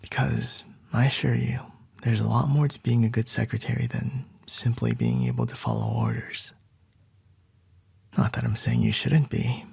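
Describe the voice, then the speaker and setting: A man voicing a commanding male CEO boss in an ASMR roleplay, speaking in soft, measured whispers. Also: mid-range voice, animated, gravelly, husky, no room sound, full character voice.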